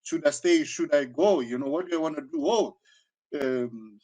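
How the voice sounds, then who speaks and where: A man of sixty with a southern African accent speaks in a slightly high voice. He talks reflectively, as a guest being interviewed, feeling his way through phrases with many repeats and verbal tags. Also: warm tone, rough recording. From Zambia, born in South Africa. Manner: halting, searching delivery with frequent repetitions and 'you know'. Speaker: interview guest, karate teacher.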